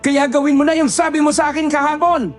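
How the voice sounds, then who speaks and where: A man voicing a brave rural man who shouts defiance at monsters in a spoken horror story. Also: extremely high pitch, fairly even intonation, fast, soft background music. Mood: relieved. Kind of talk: audio horror story acting.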